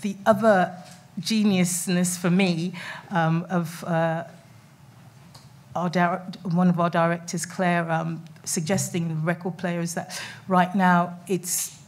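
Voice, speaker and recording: A woman's voice: fairly low pitch, little pitch movement, slow. A middle-aged woman speaking on a festival panel in a conference room, talking chattily with many fillers and restarts. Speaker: panel speaker, documentary maker. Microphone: table mic on stand.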